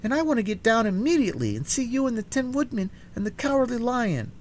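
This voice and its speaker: A spirited little storybook girl, as a man puts her on, pitched very high and lively. She talks in an insistent, pleading way. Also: quick pace, a little hiss or hum.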